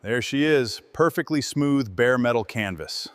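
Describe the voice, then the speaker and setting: Male narrator, medium pitch, scripted hands-on restoration voiceover, noisy background. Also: expressive, unhurried.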